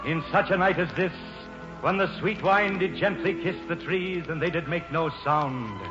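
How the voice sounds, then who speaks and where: An actor in a 1940s radio play as a roguish, grandly romantic American actor who declaims poetically. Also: male voice, fairly high pitch, normal speed, natural voice. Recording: muffled old radio broadcast, music behind.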